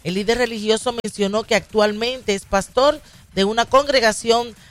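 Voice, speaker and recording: A woman's voice: a little high, normal speed. Woman reading a news item. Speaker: radio co-host.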